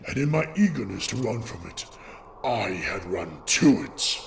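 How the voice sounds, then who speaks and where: Brooding young male unicorn, played by a man, low and swooping, fatalistic confessional narration for a fan comic dub. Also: big pitch swings, unhurried, creaky, slight background noise, heavily acted. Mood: resigned, anguished. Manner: dramatic, heavy, confessional delivery. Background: loud music.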